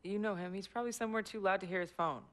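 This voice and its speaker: A woman's voice: mid-range voice, racing, lightly acted. An actress playing a young woman, the basketball star's girlfriend, easygoing and playful, in a video game cutscene.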